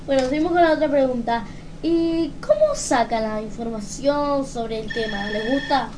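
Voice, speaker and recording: A woman's voice: high-pitched, lively intonation, normal speed, darkish tone. A woman putting questions informally as a radio co-interviewer. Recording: faintly noisy room, distant microphone.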